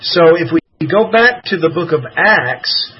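A man's voice: on the high side, animated, a little slow, breathy edge. A man giving informal Bible teaching in a rambling, hedging way, with many fillers and restarts, on a dull lo-fi radio broadcast.

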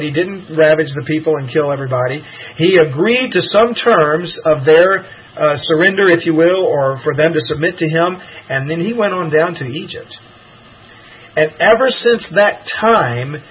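A man's voice: on the high side, husky. A man giving informal Bible teaching in a rambling, hedging way, with many fillers and restarts, on a dull lo-fi radio broadcast.

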